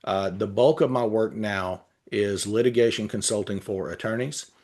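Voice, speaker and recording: A man in his fifties with a Texan accent, a retired police lieutenant answering interview questions in a measured way, with frequent pauses and restarts. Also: lowish voice, darkish tone. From Texas. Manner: measured, many "uh" fillers and restarts.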